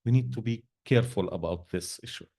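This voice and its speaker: A man whose first language is Arabic, answering on a panel in halting English with many restarts. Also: mid-range voice, creaky, dark tone, husky. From Syria. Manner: halting, many restarts and fillers, non-native grammar. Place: event hall.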